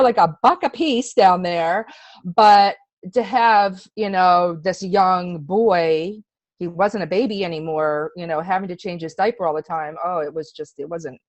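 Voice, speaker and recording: Female testimonial speaker, lower-than-average voice, earnest and conversational with heavy emphasis, very little room sound. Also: no echo. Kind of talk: casual personal testimonial.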